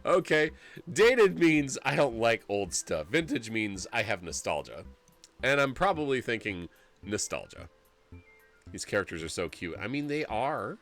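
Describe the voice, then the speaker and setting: A man's voice: medium pitch, unhurried, faint music behind. A man in his forties streaming a story game, reading its narration aloud and joking, laughing often. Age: 40s. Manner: animated and jokey, laughs often, rambles.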